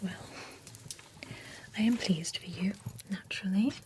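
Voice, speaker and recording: A chatty, nosy beautician at a spa for monsters, as a woman whispers her into a close ASMR mic, slow and unhurried, with a steady middle pitch. Every word comes as a soft, breathy, unvoiced whisper, gossipy and a little jealous underneath. A faint hiss sits behind her. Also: natural voice. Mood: envious. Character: gossipy, envious female beautician at an undead spa. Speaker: ASMR roleplay creator. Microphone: close ASMR microphone.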